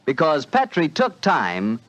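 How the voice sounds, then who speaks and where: A man's voice: a little high, wildly swooping pitch, slow, fairly bright tone. A man with an American accent working as a 1940s radio announcer, giving folksy, chatty sponsor patter like a salesman. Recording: dull 1940s radio recording.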